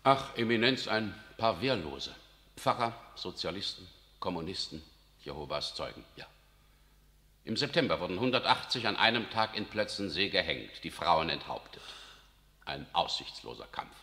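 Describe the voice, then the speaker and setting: An actor playing an earnest German visitor in a 1960s German radio drama, speaking in a grave, measured and urgent way. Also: male voice, deep voice, lively intonation, pushed and bright, heavily acted. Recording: reverberant radio studio.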